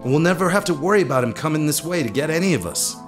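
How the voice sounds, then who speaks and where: A man's voice: fairly high pitch, lively intonation, very fast, over music, natural voice. A man playing a determined, reckless hero in a radio drama, insistent in manner. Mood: urgent, determined.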